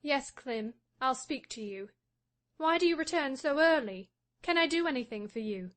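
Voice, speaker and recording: A man doing a high, hushed, scornful wife. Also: animated, normal speed. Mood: cold. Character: proud defiant young wife, cold and bitter. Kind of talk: audiobook reading of a classic novel.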